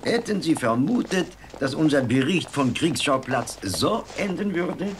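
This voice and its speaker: A male voice with very animated pitch, over faint ambience: a genial French reporter in an audio drama. He is chatty and teasing. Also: a little slow, slight background noise. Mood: curious. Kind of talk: German radio play acting.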